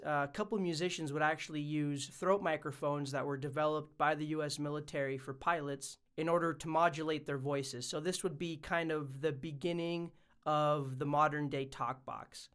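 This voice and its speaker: A man's voice: on the high side, fairly bright tone, smooth. A man presenting a casual explainer vlog as a music production YouTuber.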